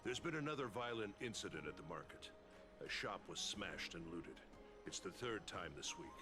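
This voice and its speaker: A man's voice: on the low side, sing-song, moderate pace. A man playing a courteous but blunt, wary and seasoned guardian in a video game. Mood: grave warning. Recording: game music playing behind the voice.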